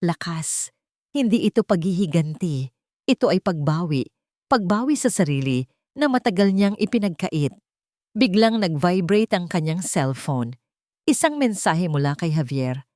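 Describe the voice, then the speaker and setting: A woman's voice: lowish voice, a little mellow, no room sound, clean studio sound. A woman reading a scripted dramatic story as narrator.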